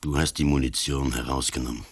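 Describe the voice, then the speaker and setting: An actor in a radio play as an ailing, stubborn old police commissioner, husky, terse and grave. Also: male voice, a bass voice, steady tone, a little fast. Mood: grave, resolute. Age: older.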